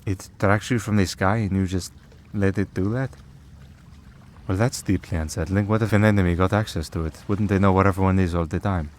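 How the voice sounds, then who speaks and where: A man's voice: low voice, steady tone, fairly quick, a little hiss or hum, lightly acted. A man playing a centuries-old vampire priest in an audio roleplay, dry, sardonic and protective. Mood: exasperated, dry.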